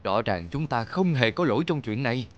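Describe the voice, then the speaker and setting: An actor playing an earnest, principled superhero father in a cartoon, speaking gravely. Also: medium pitch, lively intonation, brisk, highs cut off, natural voice. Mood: concerned.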